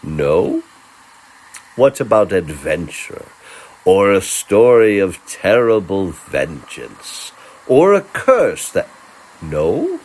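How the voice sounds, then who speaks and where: A man narrating a dramatic fantasy story in character, theatrical and suspenseful, with a gravelly, raspy voice. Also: sits low, wildly swooping pitch, darkish tone. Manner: theatrical, suspenseful, drawn-out delivery.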